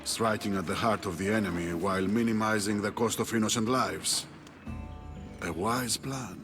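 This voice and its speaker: Man playing a low-voiced, formal military commander. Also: normal speed, music in the background, lightly acted. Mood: formal approval. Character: formal, approving male military commander ally. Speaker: supporting character in a video game. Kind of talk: dramatic video game acting.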